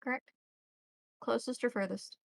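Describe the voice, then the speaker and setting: A woman's voice: on the high side, steady tone, moderate pace, gravelly, dry recording, clean studio sound. A woman narrating a tabletop roleplaying game as game master, checking back with her players as she goes.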